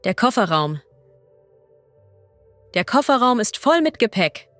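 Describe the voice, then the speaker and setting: A woman as a language teacher reading idioms and vocabulary slowly and clearly. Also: fairly high pitch, big pitch swings, a bit bright, dry recording, soft background music. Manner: slow, clear and deliberate.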